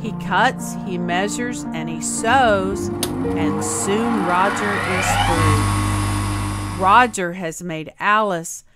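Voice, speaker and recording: A woman reading a rhyming storybook aloud for children in an expressive, sing-song way. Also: mid-range voice, slow pace. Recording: music playing behind the voice. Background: clear background music.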